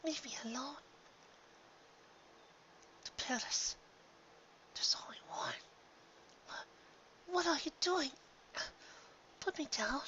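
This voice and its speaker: A man voicing a refined, weary and frightened female pony in a thin falsetto for a fan fiction story reading, pleading in weak mumbles or desperate cries. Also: slow pace, long pauses, sharp tone, in a whisper, lo-fi sound, full character voice. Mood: weary, mumbling. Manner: mumbling weakly or crying out desperately.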